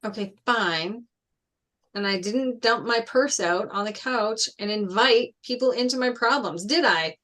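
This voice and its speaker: A woman reads a teenage jock boy in a film script table read. The voice is on the high side, earnest and casual, often questioning. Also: unhurried, a bit bright. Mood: concerned.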